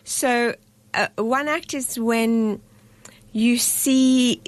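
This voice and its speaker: A woman from South Africa, a festival director, explaining her work to radio hosts in an earnest, unhurried way with frequent pauses. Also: fairly high pitch. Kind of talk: radio interview guest. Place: radio studio.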